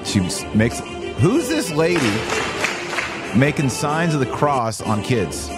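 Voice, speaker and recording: A man's voice: a little high, huge pitch swings, takes it slowly, loud music behind the voice, over a noisy crowd. A middle-aged American man hosting an opinion podcast, commenting emphatically with exasperation and personal anecdotes.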